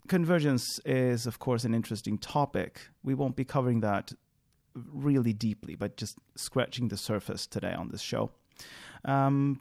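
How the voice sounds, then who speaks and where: A man whose first language is Swedish, hosting a podcast in English and talking through his thoughts out loud. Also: mid-range voice, gravelly. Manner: thinking aloud, frequent fillers and restarts. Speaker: podcast host. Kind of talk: solo podcast monologue.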